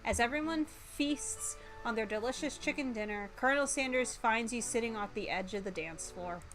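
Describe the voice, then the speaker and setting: A woman streamer reading a game aloud and commenting on it in a playful, animated, exclamatory way. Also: fairly high pitch, moderate pace, soft background music. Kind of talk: live game commentary and dramatic read-aloud.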